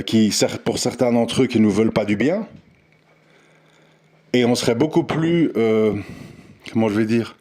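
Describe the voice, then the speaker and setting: A man's voice: medium pitch, darkish tone. A man giving an unscripted, earnest audio monologue on religion, insistent and full of restarts and repeated words. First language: not Arabic. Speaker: religious commentator.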